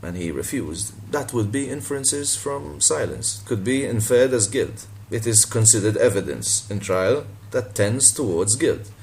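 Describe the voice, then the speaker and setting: A man from Malta, a native Maltese speaker, with a deep voice, talking casually and sardonically in a response video, laughing and swearing as he goes. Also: animated, measured pace, creaky, few pauses, a little mellow, slight background noise, rough recording. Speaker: boxing channel vlogger.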